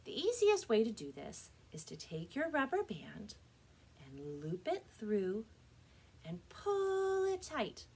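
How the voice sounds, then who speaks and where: A woman leads a hands-on activity for children in a friendly, instructional way. Her pitch moves around in an animated manner, and her voice has a husky edge. She addresses her young audience directly, as a children's librarian would. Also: slow pace.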